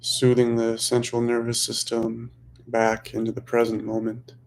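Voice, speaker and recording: A man leading a guided meditation as a teacher, speaking slowly and softly in short phrases with long pauses. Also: mid-range voice, monotone, a little hiss or hum, rough recording. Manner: slow, hushed, long pauses between phrases.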